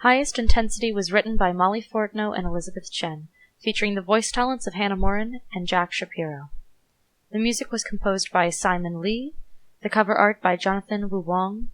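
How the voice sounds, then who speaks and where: A woman's voice: medium pitch, brisk, no room sound, poor audio. A woman reading scripted credits as the announcer of an audio play.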